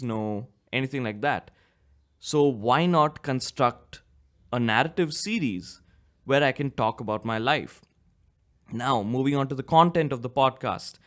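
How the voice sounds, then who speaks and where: A man in his twenties with a slight cough hosts his own solo podcast, rambling casually and correcting himself. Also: mid-range voice. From India. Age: 20s. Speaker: podcast host.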